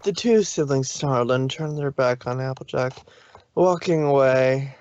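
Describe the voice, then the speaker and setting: Male narrator, plain steady read, dry, muffled mic. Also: medium pitch, unhurried, no echo. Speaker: reader. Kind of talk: fanfic reading narration.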